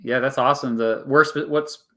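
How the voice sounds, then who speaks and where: Man, American accent, medium pitch, informal interview host, halting, rephrasing mid-sentence, unscripted. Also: steady tone, a bit bright. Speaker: YouTube host in a two-way interview. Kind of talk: casual unscripted chat.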